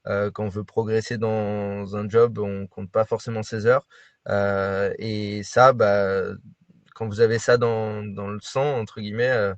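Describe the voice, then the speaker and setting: A man talking as a guest on a panel about working in sport, animated and full of hesitations. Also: fairly low pitch, measured pace, no echo. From France and Brazil. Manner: animated, hesitant with many fillers. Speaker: guest, sports club employee. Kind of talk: panel discussion answers.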